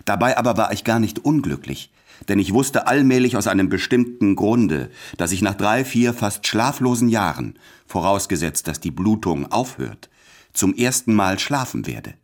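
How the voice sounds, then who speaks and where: Low, measured introspective man. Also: lively intonation. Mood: detached, recollecting. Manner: measured, matter-of-fact, reflective. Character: ailing, introspective, gently detached man of letters. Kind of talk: German radio play, reading letters aloud.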